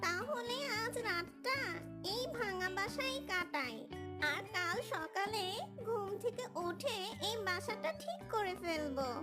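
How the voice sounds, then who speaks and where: A woman's voice: very high voice, expressive, takes it slowly, over loud music, heavily acted. A woman voicing a small, anxious, grieving mother tailorbird in a children's cartoon. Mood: worried.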